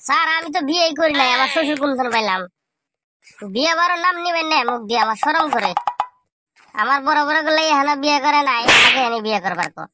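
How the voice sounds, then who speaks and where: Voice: high voice, lively intonation, quick pace, pushed and bright, a little hiss or hum. A performer voicing a cheeky, dim-witted village schoolboy in a comedy cartoon, whining and arguing back in a rural Bangladeshi accent with mangled English. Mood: whiny, cheeky. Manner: whiny back-talk, mangled English mixed into dialect.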